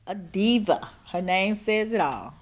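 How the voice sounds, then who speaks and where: A woman's voice: mid-range voice, animated, a little slow. A middle-aged female schoolteacher, talking informally and plainly in an interview. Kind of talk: interview reminiscence.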